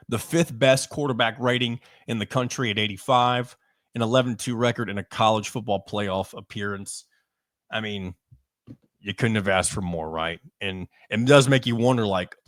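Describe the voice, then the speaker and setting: A man with a lowish voice talks through a sports podcast in a loose, conversational way, stopping for ums and restarting his sentences. The sound is close and dry, with no room around it. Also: a bit bright, no room sound. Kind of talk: casual sports podcast talk.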